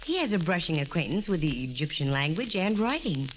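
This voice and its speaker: A woman's voice: sits low, sing-song, a little fast. An actress playing a breezy, flirtatious female private eye with wry, teasing delivery, in 1940s radio drama style. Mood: brisk. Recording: noisy, hissy 1949 radio recording.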